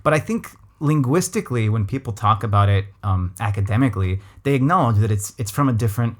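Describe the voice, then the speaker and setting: Give me a laid-back West Coast American man hosting a chat podcast, low voice, musing and hedging. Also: lively intonation, fast talker. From Los Angeles, USA. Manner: thoughtful, full of restarts and hedges. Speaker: podcast host. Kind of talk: casual conversational interview.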